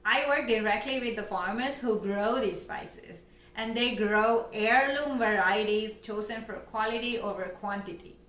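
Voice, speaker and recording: A woman from Sri Lanka who founded a spice company, explaining it earnestly with measured answers and a few fillers. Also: on the high side, a bit bright, reverberant. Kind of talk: scripted-sounding interview answers.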